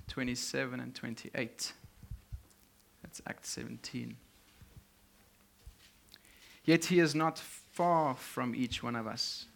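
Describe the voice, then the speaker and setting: Slow man preaching in short phrases. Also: medium pitch, creaky. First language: Afrikaans. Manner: speaks in short phrases, pausing for translation. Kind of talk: sermon with live interpreter.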